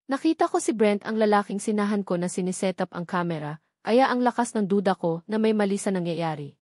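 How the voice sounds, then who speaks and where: A Filipino woman narrates a first-person novel from a script. Her voice sits at a medium pitch for a woman. The recording is close and very dry, with hardly any room sound. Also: animated, fairly quick, a little mellow, dry recording.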